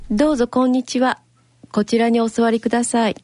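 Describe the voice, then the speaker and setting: A woman playing a brisk, polite and tactless hospital counselor in an amateur radio drama. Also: fairly high pitch, little pitch movement, noisy background, close to an everyday voice. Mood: polite, businesslike. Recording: clear music behind the voice.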